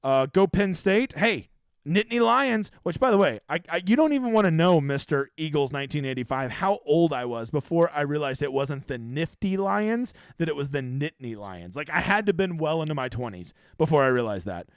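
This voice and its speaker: A man's voice: on the high side, expressive, fairly quick, clear, resonant tone, dry recording, studio quality. A man in his thirties hosting a livestream, chatting casually with viewers in a profane, brash, rambling way. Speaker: livestream host. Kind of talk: casual livestream chat answering viewer questions.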